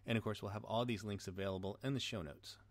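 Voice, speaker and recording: A man's voice: lowish voice, steady tone, fast, no echo. A man hosting a podcast in a casual chatting style. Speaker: podcast host.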